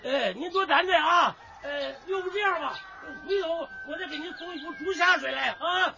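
A man's voice: very high voice, moderate pace, vocal fry. A man playing a stubborn peasant father in a radio drama, blunt and earthy. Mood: placating. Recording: dull lo-fi recording, faint background noise.

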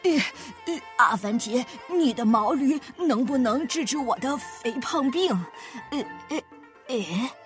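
A woman voicing an anxious, gullible big fat man in a children's story, with a husky, hesitant, pleading manner. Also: high-pitched, expressive, measured pace, soft background music.

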